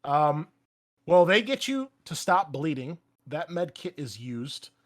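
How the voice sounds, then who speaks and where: A man's voice: on the high side, very animated, takes it slowly. A man speaking as a game master, casually explaining rules and narrating the action with some swearing. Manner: casual, profane.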